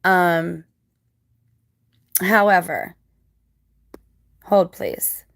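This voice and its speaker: A woman with a scratchy, dry-mouthed voice after crying, talking casually to viewers in a halting, reflective, earnest way. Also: fairly low pitch, creaky, no echo. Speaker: vlogger.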